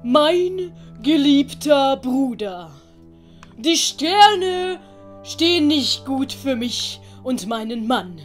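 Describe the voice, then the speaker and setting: A woman voicing an emotional older gentleman in an audiobook, theatrical and drawn-out. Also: sits high, very animated, faint music behind, voice pushed up, heavily acted. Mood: grave, moved. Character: emotional older gentleman, a doting father and uncle.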